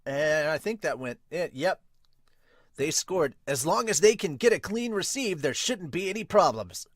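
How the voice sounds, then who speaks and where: A man hosting a podcast, reading manga aloud and reacting casually with excitable, sweary interjections. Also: a little high, moderate pace, dry recording, studio quality. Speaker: podcast host.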